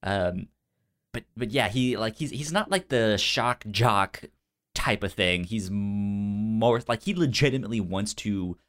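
A man co-hosting a podcast with casual film commentary, halting and stuttering on repeated sounds and words. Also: fairly low pitch, a bit bright. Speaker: podcast co-host.

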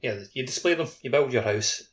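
A man's voice: mid-range voice, a little fast. A man co-hosting a podcast, chatting casually with loose, informal, repetitive phrasing. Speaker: podcast co-host. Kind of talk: casual chat.

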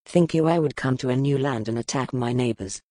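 A woman voicing a grim, powerful male duke, speaking low, measured and brooding in an audiobook. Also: warm tone, dry recording, clean studio sound. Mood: stern. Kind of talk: audiobook character voice.

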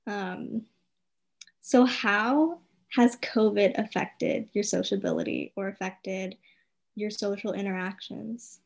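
A woman's voice: mid-range voice, slow, creaky, darkish tone. A young woman in casual conversation, talkative and loose. Her speech is full of fillers and she shares freely. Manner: talkative, many fillers like "like" and "you know". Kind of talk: casual chat.

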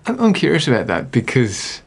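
Curious male interviewer, middle pitch. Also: wildly swooping pitch, brisk, gravelly, husky. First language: not English. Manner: curious, many backchannels. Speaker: podcast host.

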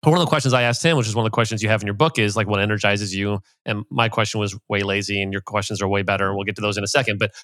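Fast-talking man hosting a chatty interview. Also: fairly low pitch, fairly even intonation, a bit bright, dry recording. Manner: chatty, retells anecdotes with filler likes. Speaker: podcast host and coach. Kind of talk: casual interview talk.

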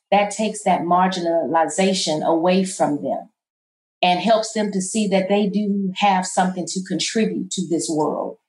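A middle-aged woman with a soft, low voice, guesting on an interview and talking in a calm, measured way that turns emphatic at times. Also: reverberant, low-quality recording. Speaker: college president, guest. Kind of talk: interview conversation.

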